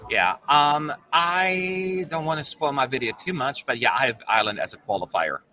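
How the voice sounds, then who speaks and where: A man's voice: medium pitch, animated, normal speed, sharp tone. A man hosting a casual livestream chat, playful and teasing. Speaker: host.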